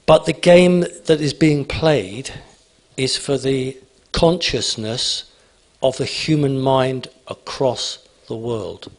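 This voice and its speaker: A man's voice: mid-range voice, slow pace. A man speaking at a conference, emphatic and repetitive, answering audience questions. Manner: emphatic, repeats phrases, asks "okay?".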